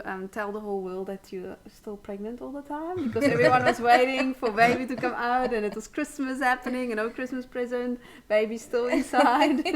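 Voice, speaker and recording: A woman hosting a supportive, casual interview in an encouraging, affirming way, feeling her way through sentences. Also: a little high. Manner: encouraging, affirming, hesitant phrasing. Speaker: interviewer, host.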